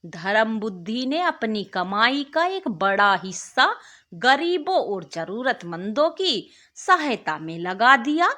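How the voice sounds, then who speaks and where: A woman with a high, very bright voice narrates a moral bedtime story for listeners. Her pace is unhurried and deliberate, and her pitch moves in a lively, expressive way as a storyteller's does. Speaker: storyteller and channel host.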